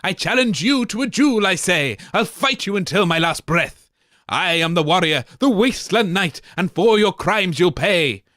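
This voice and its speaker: A man's voice: sits high, lively intonation, moderate pace, bright, forceful tone, no echo, clean studio sound. A man voicing a pompous, chivalrous knight in rhyming verse. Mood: indignant. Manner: pompous heroic declaiming.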